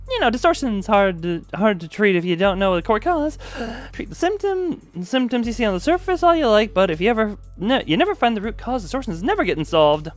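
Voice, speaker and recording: A man's voice: high voice, very animated, brisk, hardly any pauses, faint music behind, pitched above the performer's own voice. A man voicing a perky, bubbly female specialist from a game, chatty and breezy as she explains. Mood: bubbly, explaining.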